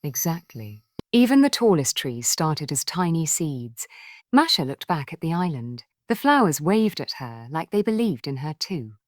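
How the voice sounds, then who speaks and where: Animated woman narrating a children's story. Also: low voice, moderate pace, no echo, studio quality.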